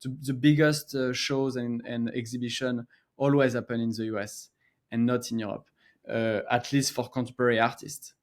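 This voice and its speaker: A man's voice: medium pitch, level tone. Male guest, French accent, middle-aged, hesitant interview delivery. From France. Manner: halting, many filler pauses and restarts. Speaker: co-founder and gallery guest. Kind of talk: podcast interview.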